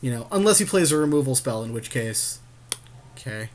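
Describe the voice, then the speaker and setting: A man with a medium-pitched voice co-hosts casual card game commentary. He banters in an agreeable, jokey way as he talks through the play. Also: lively intonation, brisk.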